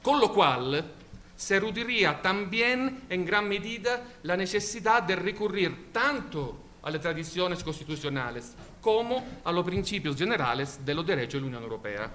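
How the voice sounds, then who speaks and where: A man whose first language is Italian, speaking with an Italian accent in a formal academic conference talk, measured, with occasional slips and self-corrections. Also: high-pitched, sharp tone. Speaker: invited law professor.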